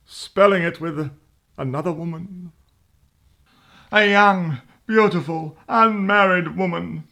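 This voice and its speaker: A woman plays a long-suffering mother in a comic radio soap. The voice is gravelly and halting, with dry exasperation and a streak of melodrama. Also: mid-range voice, fairly bright tone, heavily acted. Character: exasperated, confessing mother of grown children.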